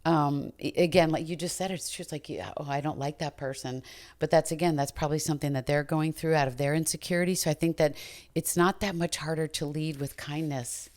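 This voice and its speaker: A woman with a strikingly low voice sits in on a relaxed panel chat as a voice actress. She spins casual anecdotes and laughs easily between thoughts. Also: fairly quick. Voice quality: naturally low voice. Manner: warm, laughing, tells anecdotes. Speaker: voice actress guest.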